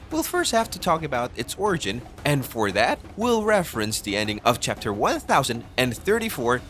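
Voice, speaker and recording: A man's voice: fairly high pitch, sharp tone. A man reading a scripted explainer narration as the narrator of an anime video.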